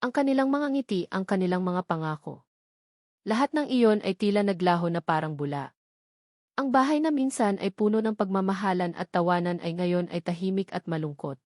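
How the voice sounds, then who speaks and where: A woman's voice: mid-range voice, lively intonation, a little fast, darkish tone, no room sound, clean studio sound. A woman reading a scripted romance drama as a story narrator.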